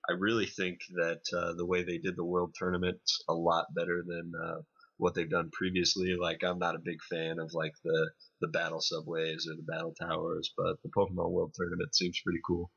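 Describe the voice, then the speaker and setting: A man co-hosting a podcast, giving a casual video game review in a hesitant, explanatory way with many pauses. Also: deep voice, completely flat delivery, gravelly, fairly bright tone, slightly rough, dull audio. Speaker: podcast co-host reviewing games.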